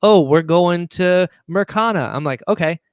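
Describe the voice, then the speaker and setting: A man talking casually as a podcast guest, with an animated, geeky enthusiasm. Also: sits high, moderate pace, clear, resonant tone, no echo, clean studio sound. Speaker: guest, audio drama producer.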